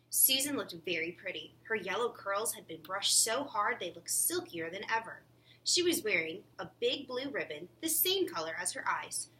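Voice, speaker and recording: Distant, bright-voiced woman narrating a storybook. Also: mid-range voice. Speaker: teacher reading a storybook aloud. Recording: distant microphone, faint background noise.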